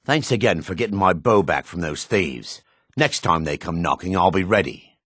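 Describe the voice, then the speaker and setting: A raspy, fairly low-voiced dark elf man from a fantasy video game. He is wary and embittered, with terse delivery that swings widely in pitch. He sounds gruff and battle-worn. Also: animated, normal speed, no echo, studio quality. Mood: earnest, weary. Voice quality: raspy, gravelly.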